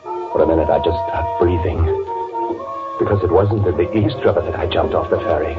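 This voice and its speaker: Guy acting as a deep voiced shifty guilty man, halting and polite, tinny old radio sound w music. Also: close to an everyday voice. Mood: confessional, tense. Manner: halting, hesitant delivery. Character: guilty, scheming man impersonating his dead brother, nervously polite. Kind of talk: old-time radio thriller acting with confessional first-person narration. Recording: tinny, band-limited old radio recording, music behind.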